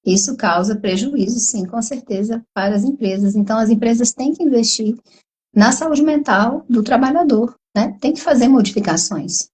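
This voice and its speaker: A woman psychologist with a Brazilian Portuguese accent, hoarse from a cold, explaining at length in a live online conversation and tagging her sentences with questions. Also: a little high, moderate pace, a little mellow, poor audio. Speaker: psychologist guest.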